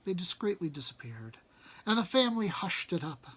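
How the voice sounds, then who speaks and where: Expressive man reading a storybook aloud. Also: high-pitched, normal speed, breathy edge. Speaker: reader. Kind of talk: storybook narration read aloud.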